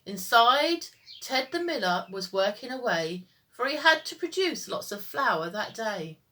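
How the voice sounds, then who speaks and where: A woman teacher reading children's stories aloud in an expressive, sing-song storytime style. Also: fairly high pitch, a little slow.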